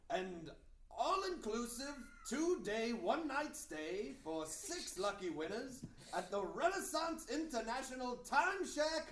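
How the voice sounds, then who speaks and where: A man's voice: very high-pitched, exaggerated voice. A man playing a pompous, grandiose master of ceremonies at a Renaissance fair, proclaiming in mock-archaic style at a comedy table read. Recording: roomy, distant mic, faint noise.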